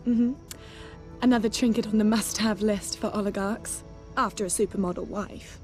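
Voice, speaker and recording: Actress as a flirty, worldly socialite spy. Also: female voice, mid-range voice, steady tone, moderate pace, darkish tone, natural voice. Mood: gossipy, breezy. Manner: playful, arch, self-assured. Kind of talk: video game cutscene acting. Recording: music behind the dialogue.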